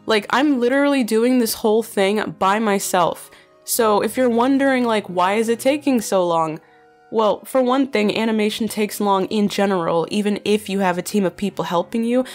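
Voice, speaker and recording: A woman's voice: medium pitch, moderate pace, a little mellow, soft background music. A young woman chatting casually while answering fan questions, filling her talk with "like" and "bro", her voice slightly nasal. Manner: chatty, many fillers like "like" and "bro". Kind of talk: casual chat.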